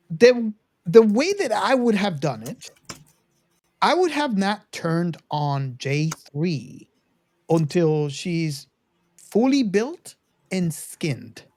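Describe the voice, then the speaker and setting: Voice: mid-range voice, sing-song, very slow, pauses often, a little mellow. A podcast host talking casually about a film, with rambling asides to listeners. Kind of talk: casual movie discussion.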